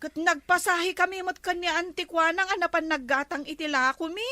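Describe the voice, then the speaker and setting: A woman's voice: extremely high pitch, steady tone, fairly quick, bright, forceful tone, no room sound. A woman playing a guarded, argumentative village store woman in an Ilocano comedy drama. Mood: firm, argumentative.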